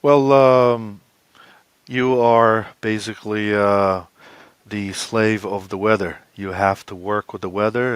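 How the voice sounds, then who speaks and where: A man giving an explanatory interview as a guest, speaking in halting, hesitant phrases. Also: lowish voice, clear, resonant tone, no room sound. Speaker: expedition co-leader, interview guest.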